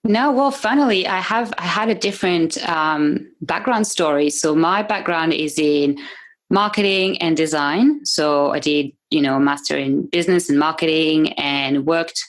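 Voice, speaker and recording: A woman's voice: low-pitched, a little slow. A woman with a French accent, a native French speaker, chatting as a guest on a podcast interview, laughing often and restarting her sentences. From France. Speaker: guest, membership business owner.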